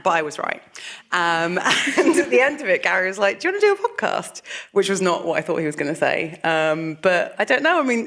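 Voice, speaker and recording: A woman podcast co-host answering on a live panel, chatty and laughing, with quick asides. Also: fairly low pitch, fairly bright tone. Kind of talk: casual live panel talk. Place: live event stage.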